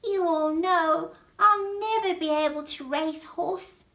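A woman's voice: very high voice, slow pace, pushed and bright, reverberant, pitched above the performer's own voice, exaggerated voice. A woman voicing a small, timid but clever snail in a children's story, meek and hesitant. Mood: worried, plotting.

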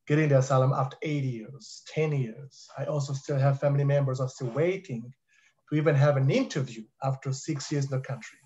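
A man's voice: mid-range voice, a little mellow. A man in his thirties whose first language is French, speaking earnestly as a panelist on a video call through a headset. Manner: earnest, emphatic, repeats for stress. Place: home living room with high ceiling. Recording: dull muffled video call audio.

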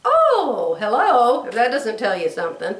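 An older woman with a drawl, hoarse, telling stories and chatting casually to camera as a sewing vlogger. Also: a little high, wildly swooping pitch, a little slow, recorded from a distance. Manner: chatty storytelling, acts out quoted voices. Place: bedroom sewing room, indoors. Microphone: camera across the room. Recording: roomy, from off mic.

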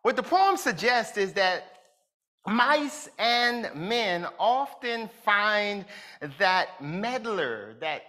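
A middle-aged male pastor preaches from a pulpit in a big church hall, picked up by a microphone at a little distance. His delivery is unhurried and drawn out, and his voice has a bright, ringing edge as he leans into key words. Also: sits high, big pitch swings. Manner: slow, deliberate delivery with rising emphasis. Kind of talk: sermon.

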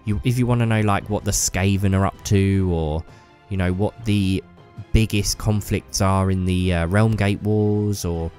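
A man's voice: low-pitched, steady tone, measured pace, few pauses, a little mellow, soft background music. A man with a cold, sounding a bit bunged up, chatting casually and enthusiastically to his channel viewers, rambling with fillers. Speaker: YouTuber. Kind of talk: casual hobby vlog talk.

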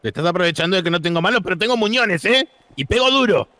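A man's voice: high-pitched, lively intonation, quick pace, pushed and bright. A man playing a cranky, cheeky old armless mechanic in an online roleplay, complaining and defiant. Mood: indignant. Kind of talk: improvised online roleplay acting.

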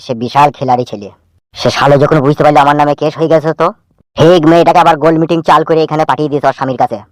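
A man's voice: fairly high pitch, a little mellow, dry recording, close to an everyday voice. A man playing a chatty, knowing junior policeman in a comic cartoon, gossipy and opinionated.

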